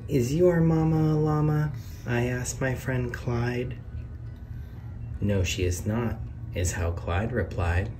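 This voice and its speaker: A man's voice: medium pitch, slow, distant mic, faint music behind. A man reading a children's picture book aloud in a sing-song way, lifting his voice on each question and reveal. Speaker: read-aloud narrator. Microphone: camera over the book, hands on pages.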